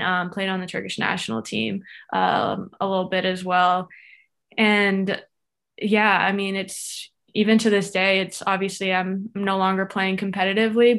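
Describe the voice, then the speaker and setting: A woman's voice: mid-range voice, creaky, a bit bright. Chatty young woman, casual storytelling. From Florida, USA. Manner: chatty, lots of fillers and likes, laughs easily. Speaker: guest, former soccer player. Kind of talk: casual interview chat.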